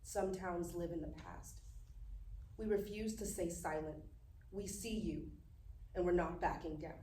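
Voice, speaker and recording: A woman's voice: on the low side, unhurried, dark tone, natural voice. A woman playing an outspoken, resolute young niece who records a defiant message, in a live script reading. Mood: grave, defiant. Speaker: actor at a live table read. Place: live event room at a film festival. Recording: roomy, distant mic, faint noise.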